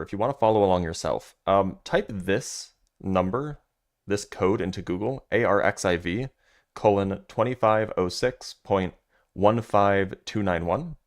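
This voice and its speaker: A man with a very low, deep voice talks through a scientific paper on a casual livestream. He reads passages aloud, then drifts into loose, filler-heavy asides to his chat. The tone stays relaxed and conversational throughout. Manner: loose and chatty, many fillers, answers chat. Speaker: livestream host reviewing a physics paper.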